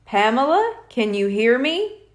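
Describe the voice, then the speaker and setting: Grand male stage magician for a storybook, acted by a woman, high-pitched, slow and commanding. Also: very animated. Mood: commanding, solemn. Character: theatrical stage hypnotist man, grand and commanding.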